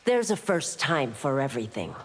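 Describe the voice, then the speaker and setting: An actress playing a stern, authoritative officer of the law in a fantasy fighting game, firm, formal and skeptical. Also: female voice, a bass voice, very animated, normal speed, in a large hall, very noisy. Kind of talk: video game pre-fight banter.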